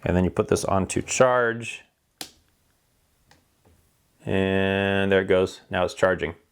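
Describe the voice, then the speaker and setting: A man's voice: low voice, steady tone, takes it slowly, clear, resonant tone. A man informally walking through a product by hand, explaining it in a plain-spoken, practical way. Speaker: product reviewer. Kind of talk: casual hands-on product demonstration.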